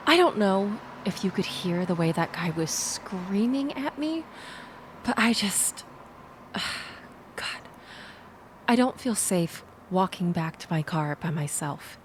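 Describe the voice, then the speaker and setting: A woman playing a shaken, chatty young woman in an intimate audio roleplay, rambling with wry asides and breathy sighs as she confides in the listener. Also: fairly low pitch, expressive, takes it slowly, long pauses, slight background noise. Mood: anxious, pleading. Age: young adult. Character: shaken, chatty young woman escaping a bad date, self-deprecating and grateful.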